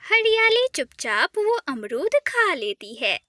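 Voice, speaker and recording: A woman narrating a cartoon story in a soft, even storytelling manner. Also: very high voice, takes it slowly, no echo, studio quality.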